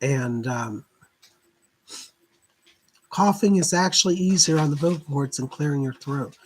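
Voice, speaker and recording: Hoarse man with a husky, weak voice. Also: fairly high pitch, measured pace, a little mellow, low-quality recording. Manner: chatty, greets viewers by name, keeps clearing his throat. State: hoarse, recovering from laryngitis. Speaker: psychic hosting live readings. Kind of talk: casual live-stream chat.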